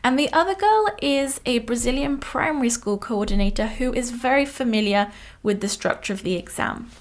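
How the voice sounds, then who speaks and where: A young woman, an English teacher, hosting and examining a mock speaking exam with clear, encouraging delivery. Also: fairly high pitch, normal speed.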